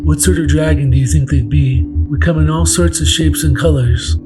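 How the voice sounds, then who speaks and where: A man voicing a massive, kindly female dragon with a playful, gently conversational manner in a fantasy story. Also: a little high, moderate pace, loud music behind the voice, natural voice.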